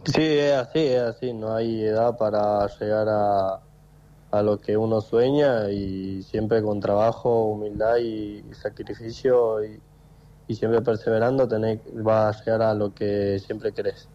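Young Argentine footballer, monotone and dark-toned, halting phone interview, lo-fi line. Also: male voice, on the low side. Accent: Argentine Spanish. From Tucumán, Argentina. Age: 20s. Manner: halting, repeats words, many fillers. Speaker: footballer guest.

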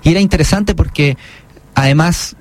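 A man's voice: a little high, moderate pace. A man from Chile with a Chilean accent, an author being interviewed on the radio, talking thoughtfully with many restarts. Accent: Chilean Spanish. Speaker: author and guest.

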